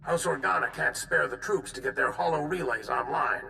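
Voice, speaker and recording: An actor playing a grave, hard-bitten alien general in a video game, with clipped military delivery and a gravelly voice over a hologram transmission. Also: male voice, fairly high pitch, moderate pace, sharp tone, reverberant, slight background noise, heavily acted. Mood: resolute. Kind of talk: video game cutscene acting.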